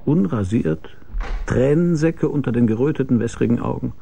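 An actor playing a world-weary private detective with a dry, laconic manner and gravelly voice, narrating and acting in a German radio drama. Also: male voice, mid-range voice, moderate pace. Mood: wry, reflective. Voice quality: gravelly, husky, dark. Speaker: first-person narrator and lead.

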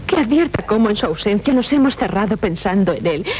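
An actress playing an eager, adoring seventeen-year-old noble daughter in Spanish radio theatre, girlish and excitable, with a Castilian accent. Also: mid-range voice, a little fast, muffled recording, close to an everyday voice. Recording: noisy 1970s radio drama recording.

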